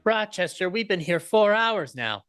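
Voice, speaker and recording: A man's voice: sits high, big pitch swings, a little slow, loud music behind the voice. A man playing a naive young singer in a radio comedy, whiny and plaintive. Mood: impatient.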